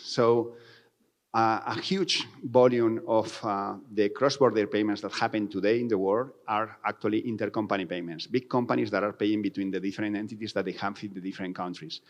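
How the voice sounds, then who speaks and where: Low-pitched Spanish-accented man presenting. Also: steady tone, brisk. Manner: non-native English with reworded phrases. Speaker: company founder presenting. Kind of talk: conference presentation.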